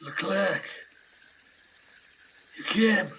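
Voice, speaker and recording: A man's voice: high voice, extremely slow, sharp tone, a little hiss or hum, full character voice, rough recording. A man playing a brave, hot-headed British explorer in a melodramatic, strained style for a radio play. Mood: weak, suffering.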